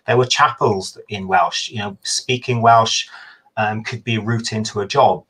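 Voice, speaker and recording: A man, a history professor, giving a measured, thoughtful explanation over a video call, sometimes correcting himself mid-sentence. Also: medium pitch, fairly bright tone, husky. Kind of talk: explanatory talk in a webinar.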